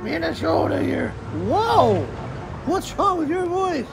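A man playing a puzzle game and voicing the pieces in a goofy, chatty way, his voice raspy and coughing. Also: very high voice, lively intonation, slow pace. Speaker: gamer voicing the state pieces. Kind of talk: playful game commentary with character voices. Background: game music.

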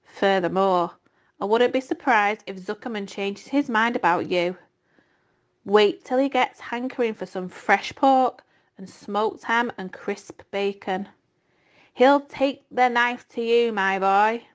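Storybook rat read by a woman: husky, hoarse, bloated and smug, with snarled, drawn-out lines. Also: mid-range voice, lightly acted. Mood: snarling. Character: gloating greedy male rat, husky and stuffed.

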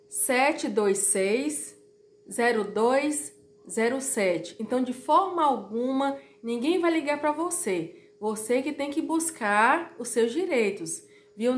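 A slightly hoarse woman in her thirties talks straight to her viewers with a fairly high voice. She is chatty and direct and repeats her warnings. She is recorded close to a phone in a small, echoey room at home. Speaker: YouTuber. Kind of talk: informal explainer vlog.

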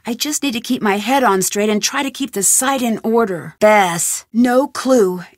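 Casting: a young game sleuth, wry and curious, bright voice, dry studio sound. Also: female voice, on the high side, no room sound. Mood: uneasy. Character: young female amateur detective, curious and wry. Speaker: game protagonist. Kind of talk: video game voice acting. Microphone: close studio mic.

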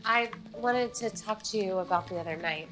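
A woman's voice: medium pitch, moderate pace, fairly bright tone, close to an everyday voice, poor audio. Blunt, weary young woman played by an actress. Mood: hesitant. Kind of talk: sitcom acting. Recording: TV show playback with music.

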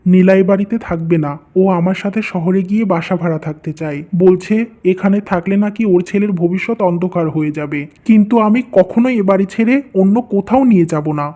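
An actor voicing a troubled, dutiful young husband and son, earnest and weary, in an animated family melodrama. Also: mid-range voice, a little fast, natural voice. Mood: reluctant, firm. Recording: dull, lo-fi muffled sound.

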